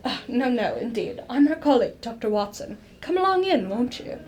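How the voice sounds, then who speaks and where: Woman acting as curious female detective's companion, slightly high voice, cheery, old-fashioned politeness, radio drama. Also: big pitch swings, normal speed, darkish tone. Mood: brisk, polite.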